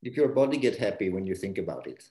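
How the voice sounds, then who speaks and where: A man from Denmark chimes in on a video call with a very deep voice that moves a lot in pitch. He sounds friendly and laughs easily. The call audio is roomy. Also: big pitch swings, fast talker, warm tone.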